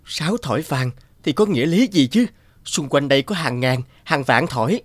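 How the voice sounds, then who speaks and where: A man's voice: a little high, animated, normal speed, faint music behind. A man voicing a simple, greedy, easily amazed young peasant with an exclamatory manner in a children's cartoon fairy tale. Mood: greedy, dissatisfied. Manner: exclamatory, muttering, wondering.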